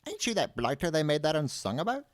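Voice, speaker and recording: A man voicing an excitable, eccentric old male wand seller in a storybook reading. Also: fairly high pitch, quick pace, no room sound, clean studio sound, voice pushed up. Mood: curious. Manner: excitable, exclamatory.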